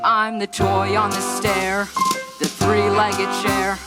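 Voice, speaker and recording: A young actress playing a clumsy, cheerful young groom, breezy and chatty, who breaks into song on stage. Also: female voice, mid-range voice, monotone, slow pace, over loud music, lightly acted. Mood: singing. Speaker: child actor in a musical.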